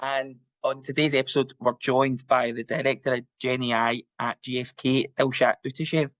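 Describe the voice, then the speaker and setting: A man hosts a podcast interview in a casual, conversational style. His pitch sits in the middle, and the recording is dry with no room sound. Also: level tone, normal speed, fairly bright tone, no room sound. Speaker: podcast host.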